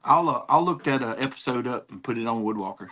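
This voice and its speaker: Halting teasing man in his fifties. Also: medium pitch, expressive, crowd noise. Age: 50s. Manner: halting, restarts often, teasing. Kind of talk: casual chat.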